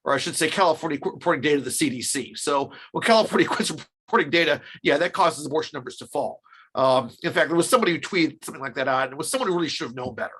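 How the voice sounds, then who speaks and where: A man's voice: fairly high pitch, animated, racing, fairly bright tone, husky. A man giving explanatory interview commentary as a research scholar, emphatic and often restating his points. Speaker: podcast guest, research scholar.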